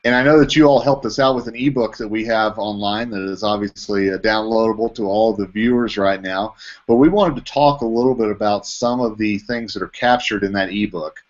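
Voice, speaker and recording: A man's voice: on the low side, a little fast. Man interviewing over a dull video call. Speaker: interviewer, trade publication editorial director. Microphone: video call microphone.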